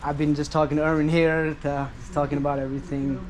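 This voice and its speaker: A man's voice: on the high side, level tone, fast, a little mellow, slight background noise, poor audio. A man from Nepal, chatting earnestly in a casual travel vlog and often restarting his sentences. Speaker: travel vlogger.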